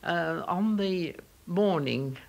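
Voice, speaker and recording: Oral history: elderly woman from the south of England, very slow, halting reminiscence. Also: fairly low pitch, animated, gravelly. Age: older.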